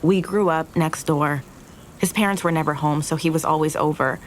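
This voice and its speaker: An actress playing an earnest, regretful young restaurant owner in an audio drama, confessing in a halting, naturalistic way. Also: female voice, low-pitched, a little hiss or hum, close to an everyday voice. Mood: wistful, regretful.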